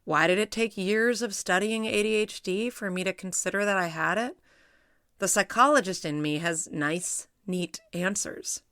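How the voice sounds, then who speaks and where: Woman, middle-aged, gravelly tone, dry close mic, measured reflective reading. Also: mid-range voice, fairly bright tone, no echo. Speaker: essay reader, psychologist. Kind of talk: reading her own personal essay aloud.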